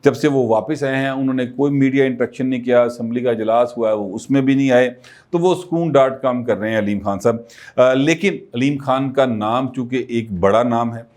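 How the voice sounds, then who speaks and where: Man, political commentary, chatty, lots of um pauses, mid pitch. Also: a little mellow. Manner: conversational, many filler pauses.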